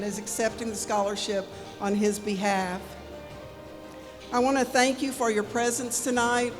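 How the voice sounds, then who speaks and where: A woman presenting donations and scholarships at a community ceremony, reading names slowly at a podium microphone and correcting herself politely. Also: mid-range voice. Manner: reads names slowly with small apologetic corrections. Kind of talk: formal announcing into a microphone. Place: large indoor event hall. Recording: long hall reverb, music playing. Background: background music.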